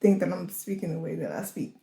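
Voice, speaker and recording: A young woman from New Orleans with a light New Orleans accent, co-hosting a podcast in a relaxed, conversational way that is full of "like". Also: on the low side, fast, vocal fry. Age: young adult. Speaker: podcast co-host. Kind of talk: casual chat. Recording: roomy echo.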